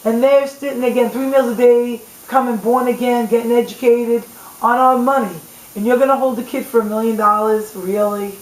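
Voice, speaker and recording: Female talk show co-host, higher scratchy voice, casual and opinionated, roomy homemade recording. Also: steady tone, normal speed. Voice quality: scratchy voice from singing. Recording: echoey room, faint background hiss.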